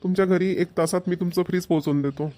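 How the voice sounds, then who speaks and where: Guy doing a courteous shop salesman, quick and businesslike. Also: high-pitched, fairly even intonation, warm tone, lightly acted. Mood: polite. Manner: polite, businesslike. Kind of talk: cartoon voice acting.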